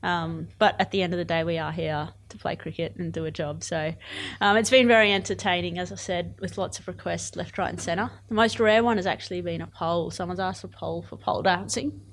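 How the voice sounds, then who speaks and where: A woman's voice: on the low side, steady tone, brisk, few pauses, a little hiss or hum. A woman in her twenties with an Australian accent, chatting casually and enthusiastically as an athlete being interviewed. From born in Zimbabwe, moved to Australia aged eight. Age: 20s. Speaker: cricket player.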